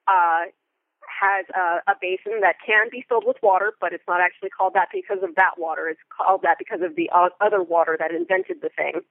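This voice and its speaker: A woman's voice: mid-range voice, gravelly, no echo, phone-quality sound. A woman giving enthusiastic, articulate interview answers in a conversational way, thinking aloud as she goes. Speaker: theatre company member and Foley artist guest.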